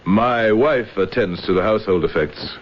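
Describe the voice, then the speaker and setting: An actor playing a brooding, embittered older general in a radio drama, grave and world-weary. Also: male voice, lowish voice, moderate pace, natural voice. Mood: guarded, civil. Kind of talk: old-time radio drama acting. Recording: noisy, dull vintage radio recording.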